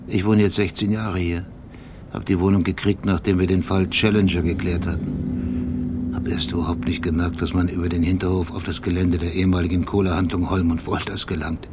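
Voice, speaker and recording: An actor playing an obsessive, brooding middle-aged police detective with a gravelly voice, telling his memories deliberately in a radio play. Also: low voice, level tone, heavy background noise, lightly acted. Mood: explaining, obsessive. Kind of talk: radio play acting, intimate monologue.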